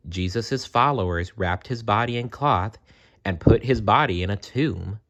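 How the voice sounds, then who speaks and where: A man reading a children's story aloud as a dramatic, expressive narrator. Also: sits low.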